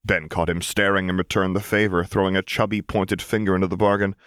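A man's voice: sits low, flat delivery, fast talker, fairly bright tone, clean tone, no room sound, studio quality. A man reading a scripted story as an audiobook narrator.

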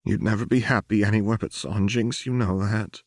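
A man reading the part of a gentle, resolute young wife in an audiobook, soft, earnest and sorrowful. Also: on the low side, steady tone, moderate pace, no echo, studio quality, voice pushed up. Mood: tearful, soothing.

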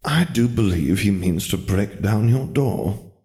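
A man's voice: lowish voice, animated, measured pace, dark tone. A man voicing a smug, amused wizard companion in a storybook, observing with detached wryness.

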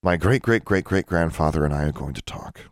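Man reading as a bold girl, low-voiced. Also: lively intonation, brisk, dry recording, studio quality. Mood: matter-of-fact. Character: young girl, stubborn, bold, plain-spoken.

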